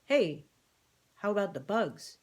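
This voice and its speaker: A woman's voice: mid-range voice, very animated, takes it slowly. A woman voicing a folksy old male horse with rustic grammar in an animal storybook. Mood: questioning.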